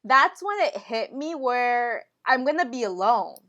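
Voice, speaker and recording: A woman co-hosting a casual podcast chat, talking in a relaxed way with short reactions and questions. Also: a little high, measured pace, lo-fi sound. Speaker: podcast co-host.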